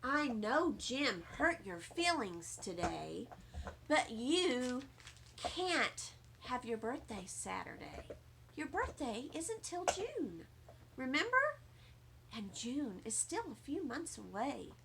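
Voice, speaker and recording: A woman voicing a gentle, patient storybook mother, speaking softly and firmly to her small child. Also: sits high, big pitch swings, vocal fry, background noise, heavily acted. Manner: soft, measured, firm.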